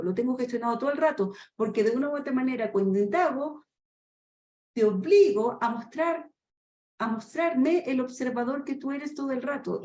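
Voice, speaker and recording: A Chilean woman in her fifties giving a webinar lesson in a quick, animated way, acting out short dialogues and asking rhetorical questions. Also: a little high. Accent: Chilean Spanish. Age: 50s. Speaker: trainer. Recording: echoey room with faint noise.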